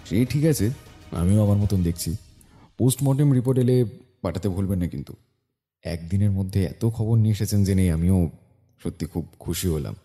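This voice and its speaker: An actor playing a shrewd, self-assured private detective in an audio drama, reasoning aloud in a measured, dry way with thoughtful hums. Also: male voice, deep voice, warm tone, soft background music. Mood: confident.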